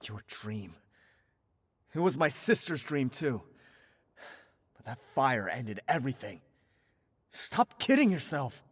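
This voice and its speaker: An actor playing a grieving young man in a game cutscene, his voice swinging between hushed and raised. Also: medium pitch, big pitch swings, slow, pauses often, slightly rough, big-room sound, exaggerated voice. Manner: emotional, swinging from hushed to shouting.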